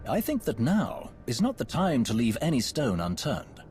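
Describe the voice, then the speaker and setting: A suave, animated Sith lord. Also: male voice, medium pitch, normal speed, faint music behind. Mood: eager. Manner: courtly, flattering, smooth-talking. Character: suave, flirtatious Sith lord, silky and theatrical. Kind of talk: video game voice acting.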